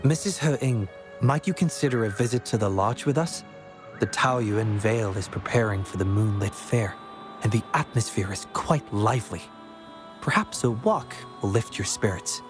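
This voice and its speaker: A man's voice: fairly low pitch, normal speed, close to an everyday voice. An actor voicing a considerate, well-mannered young man in a video game, speaking politely and earnestly. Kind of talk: scripted video game voice acting. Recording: music playing behind the voice. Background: game music.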